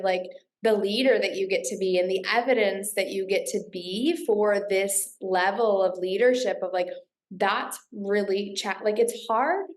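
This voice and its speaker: Encouraging woman coach on a call. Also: mid-range voice. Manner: effusive, encouraging. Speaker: coach hosting a group call. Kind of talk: casual supportive coaching chat. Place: live online group call.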